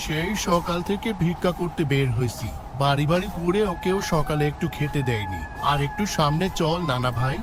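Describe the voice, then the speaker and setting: A man playing a humble, weary old village beggar grandfather in an animated story, pleading with affectionate words. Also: a little high, background noise, lightly acted. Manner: pleading, addresses others with affectionate village terms. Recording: music under the voice.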